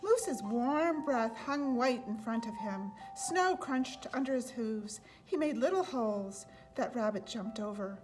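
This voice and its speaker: Woman storyteller, fairly high voice. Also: animated, a little slow, few pauses, music in the background. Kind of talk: children's picture book read-aloud.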